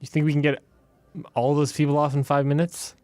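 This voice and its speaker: A man's voice: on the high side, level tone, brisk, a little mellow, no room sound. A man playing a tabletop game, chatting casually and describing his character in a hesitant, rambling way. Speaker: player in a tabletop game podcast. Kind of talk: casual improvised game chat.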